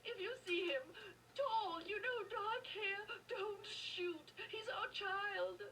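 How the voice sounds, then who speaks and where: A woman playing a grief-stricken mother in a video game, crying and pleading in a drawn-out way. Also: very high voice, pushed and bright, recorded from a distance, a little hiss or hum, heavily acted. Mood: tearful, pleading.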